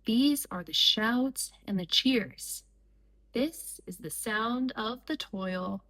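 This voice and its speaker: Slow-paced woman reading a picture book. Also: on the high side, bright, forceful tone. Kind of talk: slow read-aloud storytime for young children.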